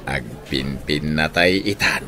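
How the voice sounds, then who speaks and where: A man playing a grim, menacing older general in an Ilocano radio drama, speaking slowly and theatrically in a deep, gravelly voice. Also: crowd noise, heavily acted. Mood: grim, measured. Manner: slow, deliberate, theatrical.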